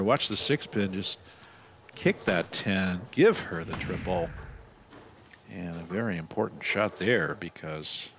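A man doing live bowling commentary, giving measured analysis with excited calls on shots. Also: low voice, slight background noise. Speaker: sports commentator.

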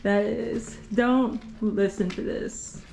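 Excitable young woman vlogger exploring. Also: on the high side, slow, gravelly, slight background noise. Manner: excitable, laughs often. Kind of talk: casual chat while exploring. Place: outdoors at an old fort.

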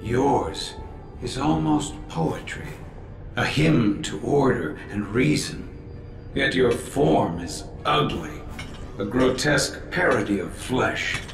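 Echoing, arrogant killer robot. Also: male voice, mid-range voice, expressive, music in the background. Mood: cold, eloquent. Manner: slow, pompous, menacing. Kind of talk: video game cutscene acting. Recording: echoing voice under loud score.

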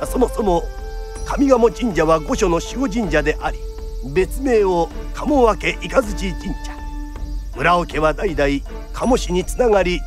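An actor narrating a period radio drama as a theatrical kodan storyteller, formal and declamatory. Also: male voice, high voice, expressive, a little slow, faint music behind. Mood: dramatic narration. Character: lively traditional storyteller narrating a period tale.

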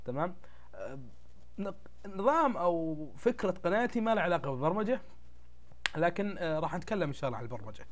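Very fast-talking young Gulf Arabic man, congested. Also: high voice, big pitch swings. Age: young adult. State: congested, changed voice from a tired throat. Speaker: YouTube tech channel host. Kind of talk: casual explainer talk to camera. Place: small indoor room at a desk, webcam recording.

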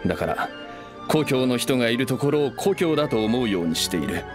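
A man's voice: mid-range voice, big pitch swings, fairly quick, over music, natural voice. A man playing a friendly, proud young merchant in an anime game, with casual, easygoing speech. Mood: reflective. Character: friendly self-made young male merchant, nostalgic and proud. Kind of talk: Japanese anime game voice acting.

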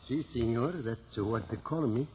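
An actor playing a humble immigrant shopkeeper in a 1950s radio drama. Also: male voice, mid-range voice, measured pace, a little mellow, close to an everyday voice. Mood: dejected. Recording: faintly noisy old radio recording.

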